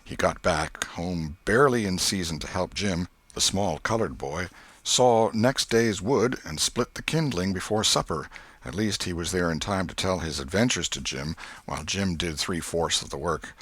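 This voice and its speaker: A man reading literary narration as an audiobook narrator, in an expressive, measured storytelling manner. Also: low voice, husky, no room sound.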